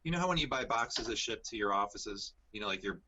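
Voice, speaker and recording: A man's voice: on the low side, animated, quick pace, highs cut off, a crowd in the background. A man appearing as a guest over a video call, easygoing, filling his talk with "like" and "you know". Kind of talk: casual video-call chat.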